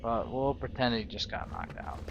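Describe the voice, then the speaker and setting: A man's voice: mid-range voice, normal speed, noisy background. A man narrating a role-play video game playthrough, mixing storytelling with casual reactions. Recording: dull lo-fi, loud game music behind. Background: game music.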